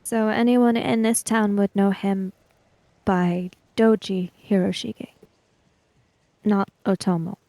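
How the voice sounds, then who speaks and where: Slow, mellow, creaky-voiced woman. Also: mid-range voice, steady tone, dry recording. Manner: careful, halting, formal wording. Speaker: tabletop roleplaying player. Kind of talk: in-character tabletop game dialogue.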